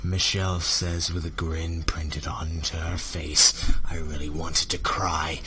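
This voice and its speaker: A man hosting a comic fanfiction readalong, narrating theatrically and often dropping into breathy whispers. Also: rumbling low, level tone, slow pace. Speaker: reader and host. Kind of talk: comic dramatic fanfiction readalong among friends. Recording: noisy home mic with clicks.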